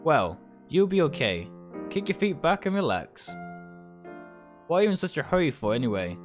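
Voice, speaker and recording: Blunt, chummy male hospital doctor as a streamer acts him out, visual novel role, very animated intonation, light music under. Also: fairly high pitch, normal speed, pitched above the performer's own voice. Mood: offhand. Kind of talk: visual novel character read aloud.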